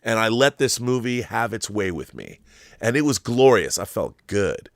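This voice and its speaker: A man's voice: on the low side, sing-song, moderate pace, vocal fry, no room sound, clean studio sound. Effusive, rambling man reviewing films. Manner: effusive, emphatic, sweary, rambling. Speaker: host of a film commentary show. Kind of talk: casual opinionated movie review monologue.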